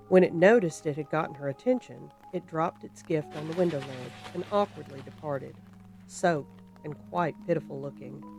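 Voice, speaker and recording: A narrator reading a scripted horror story in a measured, suspenseful and deliberate dramatic style. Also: medium pitch, vocal fry, darkish tone, low-quality recording.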